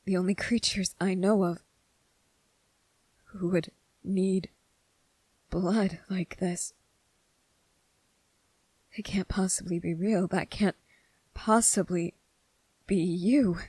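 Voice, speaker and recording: A woman voicing a gentle, earnest young male soldier in a soft-spoken, halting ASMR roleplay. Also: fairly low pitch, fairly even intonation, breathy edge, no room sound. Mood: disbelieving. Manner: hesitant, halting, repeating phrases, earnest.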